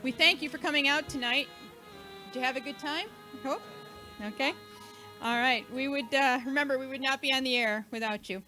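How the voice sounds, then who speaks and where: A woman's voice: sits high, a little fast, music in the background. A woman hosting an event, giving an informal, chatty, joking thank-you speech to a live audience. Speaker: event host and organiser.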